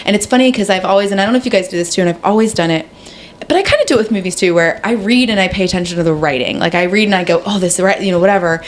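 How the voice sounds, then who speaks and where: A woman's voice: medium pitch, expressive, very fast, a little hiss or hum. A woman co-hosting a podcast in casual chat, rambling and often interrupting herself. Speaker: podcast co-host. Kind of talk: casual chat about books.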